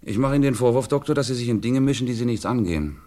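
An actor playing a gruff, irritable middle-aged police commissioner in a radio crime drama, curt and sardonic. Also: male voice, mid-range voice, lively intonation, rapid-fire, mellow, lightly acted. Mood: grudging.

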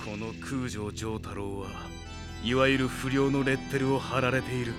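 An actor playing a tough, defiant teenage delinquent hero, gruff and righteous, in a Japanese anime audio drama. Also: male voice, mid-range voice, flat delivery, moderate pace, natural voice. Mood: grim, righteous. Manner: gruff, rough-spoken, coolly righteous. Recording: dramatic music behind the voice.

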